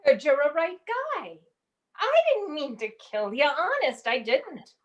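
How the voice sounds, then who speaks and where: A woman's voice: high voice, big pitch swings, a little slow, highs cut off. A woman playing a melodramatic, lovesick young chorus girl with slangy, tearful theatrics in an old-time comic radio play. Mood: sheepish, brazen.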